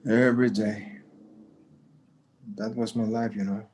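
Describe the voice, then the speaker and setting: A man playing a gentle, courteous older rancher and father in a screenplay table read, speaking in a polite, measured way. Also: on the low side, level tone, a little hiss or hum, lightly acted. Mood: wistful.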